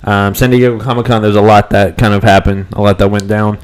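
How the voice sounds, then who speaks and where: A young man with a smooth, low voice hosts a laid-back live stream. He is fighting off a cold, so his voice drops in and out now and then. He talks in a rambling, easygoing way, full of ums and half-finished restarts. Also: fairly even intonation, fairly quick. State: voice cutting in and out from a cold. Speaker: co-host of a fan podcast live stream. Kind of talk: casual live-stream chat.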